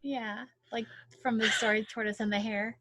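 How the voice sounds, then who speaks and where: A woman's voice: a little high, steady tone, moderate pace, very noisy. A woman reading a picture book aloud expressively, with casual explanatory asides and questions. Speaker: storybook reader.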